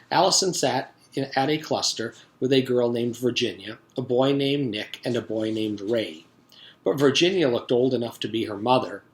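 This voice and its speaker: A man's voice: medium pitch, poor audio. Man reading a children's story aloud. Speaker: teacher reading aloud to pupils.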